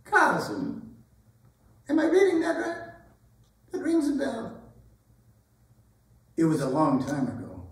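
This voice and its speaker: Guy doing old teacher and ex student, really slow, pitch jumps all over, echoey hall. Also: wildly swooping pitch, heavily acted. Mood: hesitant, puzzled. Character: elderly forgetful former teacher and grown former student. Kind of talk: solo theatrical sketch, both characters. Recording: very echoey hall, distant mic.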